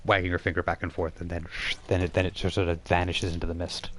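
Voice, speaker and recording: A man working as game master, improvising narration for a tabletop role-playing game in a halting, conversational way. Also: low voice, flat delivery, a little hiss or hum. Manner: halting, conversational, thinking aloud.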